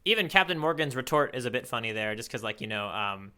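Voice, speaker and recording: Fast-talking, bright-voiced man thinking aloud. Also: medium pitch, animated, smooth. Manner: rambling, thinking aloud, hedging. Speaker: podcast co-host. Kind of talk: casual chat, manga readalong commentary.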